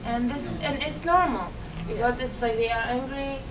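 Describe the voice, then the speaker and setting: Young Iraqi woman, English, earnest and halting, slightly high voice; distant camera audio in a noisy, roomy meeting room. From Iraq. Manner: earnest, halting, many restarts and fillers. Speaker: guest speaker. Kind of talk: unscripted talk to a meeting. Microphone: far camera.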